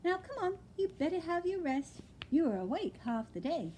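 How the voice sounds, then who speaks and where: A woman's voice: very high voice, sing-song, moderate pace, slight background noise, pitched above the performer's own voice. A woman doing a firm, motherly owl. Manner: gentle but firm, motherly. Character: calm, kindly mother barn owl.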